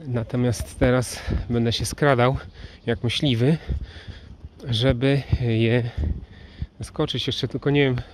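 A man narrating as he walks through a snowy park, often dropping to a hushed whisper as he sneaks up on animals, recorded on the camera's mic. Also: medium pitch, slight background noise. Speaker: nature vlogger stalking wild boar. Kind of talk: first-person outdoor narration while walking. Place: snowy park outdoors in winter. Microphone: handheld camera mic, filming point of view.

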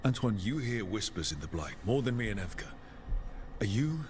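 An actor playing a steady, determined warrior hero in a video game, plain-spoken and decisive. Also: male voice, lowish voice, normal speed, faint music behind. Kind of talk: video game dialogue acting.

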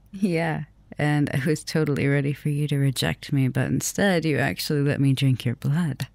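A woman acts out a female vampire professor in an intimate ASMR roleplay, flirtatious and gently dominant. Her voice is low with plenty of creak, recorded very dry and close. She teases slowly and can slip into a whisper. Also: dry recording, heavily acted. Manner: intimate, teasing, drops into whispers. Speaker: roleplay audio performer.

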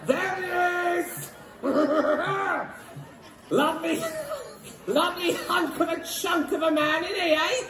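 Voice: very high-pitched, slow, a crowd in the background, full character voice. An actor playing a saucy, boastful middle-aged pantomime mum who banters brashly in a live stage show. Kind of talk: stage pantomime comedy acting. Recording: echoey theatre stage with music.

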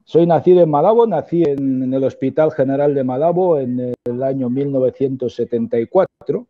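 A man's voice: medium pitch, normal speed. A man in his forties from Equatorial Guinea, speaking earnestly as an interview guest over a video call, with many fillers and tag questions. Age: 40s. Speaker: guest, opposition politician. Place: indoor room at home. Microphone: earphone headset on video call. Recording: tinny video-call audio.

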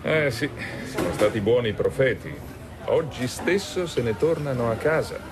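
A man's voice: medium pitch, lively intonation, normal speed, natural voice. An actor playing a courteous male public prosecutor in a radio drama, speaking expressively. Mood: affable. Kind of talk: Italian radio drama acting.

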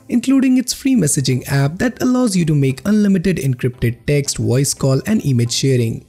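Male tech presenter, animated scripted read, slightly husky, music underneath. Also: on the high side. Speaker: host of a tech review channel. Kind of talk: scripted narration of an app roundup video. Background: background music.

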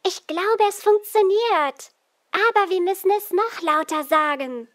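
A woman's voice: extremely high pitch, animated, unhurried. A woman voicing a cheerful, caring little girl who speaks simply to young viewers in a children's cartoon. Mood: encouraging.

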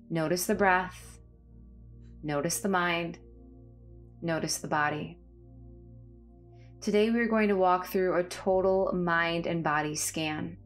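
A woman with a slightly low voice guides a body scan meditation. Her delivery is calm and soothing, with measured phrases and space between them. Faint music plays behind her. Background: background music.

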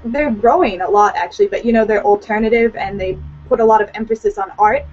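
High-voiced teenage girl on a video call. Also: a little mellow. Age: teens. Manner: informal, frequent "like" and "you know". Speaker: student guest. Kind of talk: casual video-call chat. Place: small room at home. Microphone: computer on video call. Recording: dull lo-fi video-call audio.

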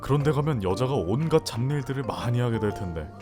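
A man's voice: mid-range voice, expressive, fast, close to an everyday voice. A man playing a teasing, easygoing married buddy. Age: 30s. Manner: teasing, laid-back, chuckling. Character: teasing laid-back married man, thirties. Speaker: married friend and coworker. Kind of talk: amateur audio drama acting. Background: soft background music.

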